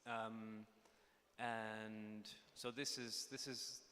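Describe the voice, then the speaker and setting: Young male panelist, flat delivery, halting with lots of fillers, heard in a reverberant hall with faint ambience. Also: lowish voice, slight background noise. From Pakistan (born in the United States). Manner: hesitant, many fillers and restarts. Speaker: panel speaker, documentary filmmaker. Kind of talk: conference panel talk. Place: conference stage in a large hall.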